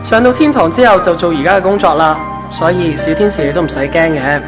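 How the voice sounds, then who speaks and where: A man's voice: a little high, fast talker, close to an everyday voice. A man playing a kindly, easygoing ancient saint of love in a Hong Kong Cantonese radio drama. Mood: breezy, reassuring. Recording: background music under the voice.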